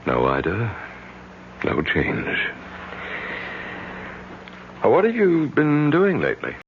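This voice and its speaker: A low-pitched, calm male doctor in a 1970s radio play. He speaks with measured patience and a varied pitch. The old broadcast is muffled and clearly noisy. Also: exaggerated voice. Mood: patient, sober. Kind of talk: 1970s radio drama acting.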